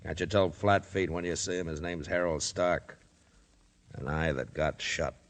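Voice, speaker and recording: An actor playing a menacing, contemptuous American gunman with a gravelly voice and curt, sardonic delivery in a 1950s radio drama. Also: low voice, steady tone, unhurried. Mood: cold, threatening. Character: menacing gruff thug with a gun, contemptuous and terse.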